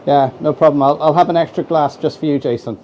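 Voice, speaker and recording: Stuffy-voiced Irish man, relaxed chat. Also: a little high, quick pace. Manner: relaxed and chatty, greets viewers by name. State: stuffy with a head cold. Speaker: live stream host. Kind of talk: casual chat to a live stream. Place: home model railway room. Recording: dull, slightly lo-fi home mic with faint noise.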